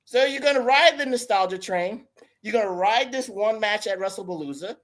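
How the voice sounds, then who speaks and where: A hoarse man trades takes on a wrestling podcast. He pushes his opinions hard and speaks right at the listeners in a relaxed, chatty way. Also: high-pitched, moderate pace. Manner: opinionated, talks straight to listeners. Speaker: podcast co-host. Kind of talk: casual wrestling chat.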